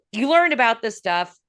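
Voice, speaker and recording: A woman's voice: fairly high pitch, fairly bright tone. Chatty woman hosting an interview. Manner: chatty, leads the talk with long questions. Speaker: host. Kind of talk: casual interview chat.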